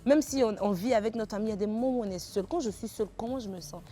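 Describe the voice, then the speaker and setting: A woman's voice: a little high, very fast, dark tone. Woman giving emphatic heartfelt advice. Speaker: guest. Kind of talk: talk show discussion.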